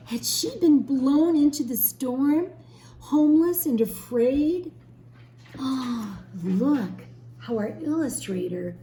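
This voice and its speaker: A woman teacher reading a storybook aloud expressively to young children, pausing to explain and ask questions. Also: high-pitched, mellow. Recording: roomy echo, faint background noise.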